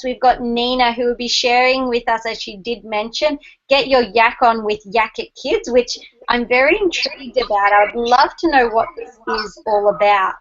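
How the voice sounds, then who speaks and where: A woman's voice: a little high, a bit bright. A woman hosting a web show, introducing guests in an upbeat, welcoming way over a video call. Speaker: host. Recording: dull lo-fi video call audio.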